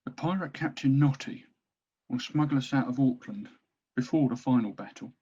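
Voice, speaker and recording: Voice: mid-range voice, normal speed, dark tone, breathy edge, highs cut off, natural voice. A performer playing a cowardly, exasperated male orc captain plotting in secret at a table read.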